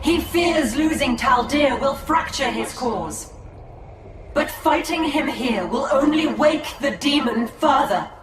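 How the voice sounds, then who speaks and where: High-voiced noble elf commander, formal, urgent, resolute, echoing and distant, music behind. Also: female voice, lively intonation, takes it slowly. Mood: firm, commanding. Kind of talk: dramatic game cinematic acting.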